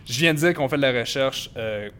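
A young man, chatting as a podcast guest in a relaxed, self-mocking way. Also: mid-range voice, fairly quick, slight background noise. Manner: relaxed, self-mocking, uses English slang. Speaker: guest, robotics master's graduate. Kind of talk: casual interview chat.

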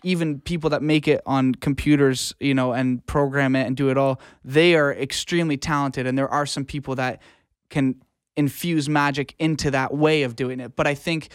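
A man's voice: mid-range voice, fairly even intonation, normal speed, clean tone, no room sound, studio quality. A man speaking as a music producer in a casual interview, explaining reflectively in plain terms and often checking in with the listener. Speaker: music producer and audio engineer, podcast guest.

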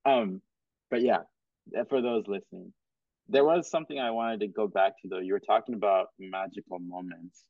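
A man hosts a podcast in an easy, encouraging way and laughs often. His voice sits on the low side. The sound is dry and thin, like a phone line. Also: normal speed, dry recording. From Cameroon and Kenya. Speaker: podcast host. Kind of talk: casual interview chat.

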